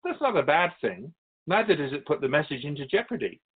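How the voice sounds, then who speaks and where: A man playing a wise spirit who keeps souls in a radio drama, teaching in measured explanations with pointed asides. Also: fairly high pitch, very animated, narrow, tinny audio. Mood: patient, instructive. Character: ancient male spirit Keeper of Souls, wise storytelling teacher. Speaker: interviewed guest. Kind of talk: scripted radio drama dialogue.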